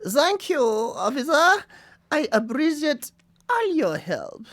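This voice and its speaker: A woman acting a frightened, hostile female doctor in a radio drama, halting and dramatic. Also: high-pitched, very animated, exaggerated voice. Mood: weary, polite.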